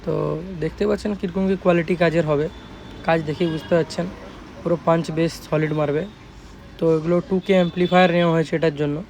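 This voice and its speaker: A man's voice: a little high, darkish tone, slight background noise. A man with a West Bengal Bengali accent giving a casual product showcase as a shop owner, in emphatic, repetitive sales talk. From West Bengal, India. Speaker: speaker shop owner presenting his work.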